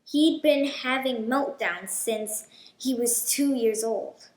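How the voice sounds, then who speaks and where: A woman hosting a children's read-aloud, telling a storybook in a deliberate, expressive way. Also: on the high side, echoey room.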